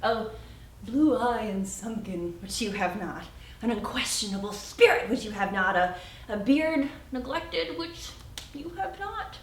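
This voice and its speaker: Spirited, witty young stage heroine played by a woman, high and emphatic Shakespearean verse-style delivery, recorded far off in a warehouse. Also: a little slow, slight background noise. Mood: playful, teasing. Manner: animated, teasing, emphatic. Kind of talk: theatrical Shakespeare monologue. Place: friend's warehouse. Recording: echoey warehouse, distant mic.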